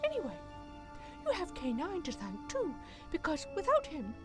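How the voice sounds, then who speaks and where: A woman's voice: high-pitched, wildly swooping pitch, moderate pace, music in the background. A woman playing a confident, triumphant female defender of Earth in an audio drama. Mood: reassuring. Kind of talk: fan audio drama acting.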